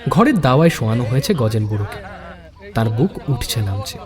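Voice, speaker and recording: A man's voice: mid-range voice, sing-song, brisk, a little mellow, in a large hall. A man hosting and narrating an audio story in a scripted storytelling style. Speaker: story narrator and channel host.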